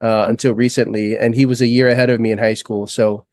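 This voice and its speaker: A man's voice: medium pitch, level tone, quick pace, a little mellow, dry recording. A man from American Samoa, a podcast co-host chatting in a friendly, joking way with many restarts. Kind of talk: casual podcast chat.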